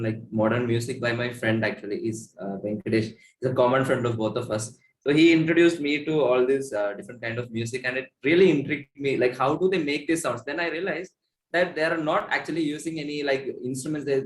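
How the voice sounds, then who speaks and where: A man's voice: mid-range voice, brisk, roomy sound, a little hiss or hum, rough recording. A young man with an Indian accent chatting casually as an interviewed musician, in a rambling way peppered with "like". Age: young adult. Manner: rambling, frequent "like".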